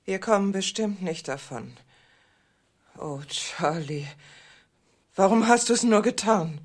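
An actress playing an anxious, deceitful middle-aged wife in a radio drama, breathless and agitated. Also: female voice, medium pitch, animated, takes it slowly, a little mellow.

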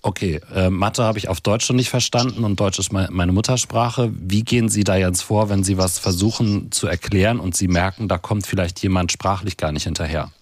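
A male radio host leads a call-in show in a calm, attentive way, with the occasional "mhm." His voice is very low and husky, and it is recorded dry and close on a studio mic. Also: fairly quick, hardly any pauses, no room sound. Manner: calm, attentive, with short listening sounds.

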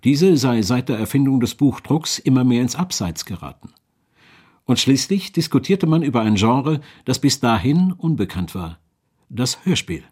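A man's voice: mid-range voice, very animated, moderate pace, darkish tone, husky. A man narrating a documentary from a script.

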